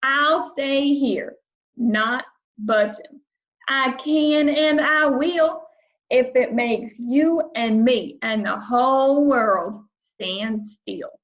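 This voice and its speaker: A woman voicing an obstinate, snappish male storybook creature with defiant, deliberate, drawn-out emphasis. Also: high voice, lo-fi sound. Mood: obstinate, boastful.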